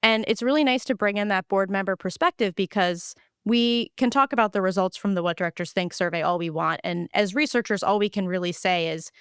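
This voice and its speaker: A woman's voice: mid-range voice, a little fast, hardly any pauses, a bit bright, clear, resonant tone, no room sound. An enthusiastic woman hosts a podcast interview and walks through research findings. Her voice is clean and resonant, recorded dry and close. She sounds upbeat and eager.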